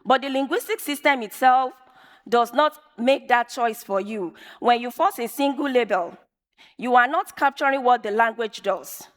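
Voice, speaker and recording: A woman whose first language is Yoruba, giving a measured, emphatic conference talk and repeating phrases for effect. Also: on the high side. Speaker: conference speaker. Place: large conference hall. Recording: long reverb of a large hall.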